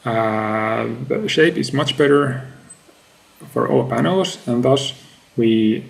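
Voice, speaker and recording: Creaky-voiced man. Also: medium pitch, slow. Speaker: researcher presenting a paper. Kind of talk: scripted academic slide presentation.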